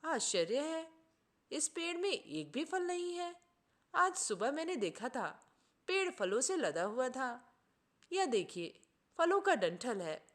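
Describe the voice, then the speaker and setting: A woman voicing an indignant gentleman doctor from a storybook, in a dramatic, formal, emphatic manner. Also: high-pitched, very animated, takes it slowly, exaggerated voice. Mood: astonished. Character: indignant, melodramatic gentleman doctor, master of an orchard.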